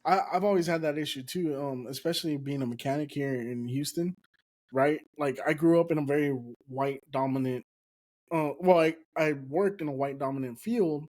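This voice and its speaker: A man's voice: on the high side, normal speed, darkish tone. An American man whose first language is Spanish, chatting casually as a podcast host in a slangy, agreeable way. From United States.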